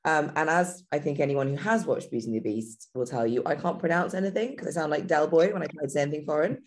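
A woman, a wine communicator appearing as a podcast guest, husky and a little hoarse from a busy week, chatting in a self-deprecating way. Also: low-pitched, fast, darkish tone. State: hoarse from heavy voice use.

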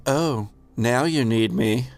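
A man voicing a coy, flustered female ship AI in a tabletop game, speaking in a halting, stammering way. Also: mid-range voice, no echo. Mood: sulky.

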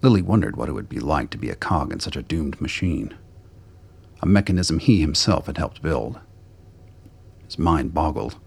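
A man with a deep, gravelly, husky voice reading scripted narration for a radio play. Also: sing-song, moderate pace, darkish tone.

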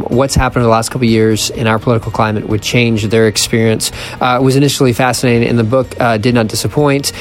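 A young man hosts a podcast in an easy, conversational way, pausing with fillers and restarting his sentences. Faint music plays quietly underneath. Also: medium pitch. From Pennsylvania. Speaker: podcast host. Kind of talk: casual interview.

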